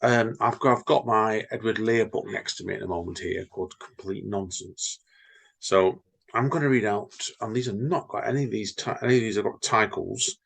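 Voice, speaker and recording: A man's voice: fairly low pitch, normal speed, gravelly, fairly bright tone, breathy edge. A man, co-hosting a podcast, reading stories and limericks aloud and chatting with wry teasing. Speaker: podcast co-host and reader. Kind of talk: reading poems and casual chat.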